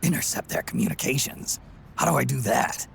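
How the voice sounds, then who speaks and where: An actor playing a weary, gruff aging soldier in a video game, with a gravelly growl and a terse, fatalistic manner. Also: male voice, medium pitch, huge pitch swings, brisk, slight background noise, heavily acted. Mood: wary, questioning. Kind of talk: dramatic video game cutscene acting. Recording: loud music behind the voice.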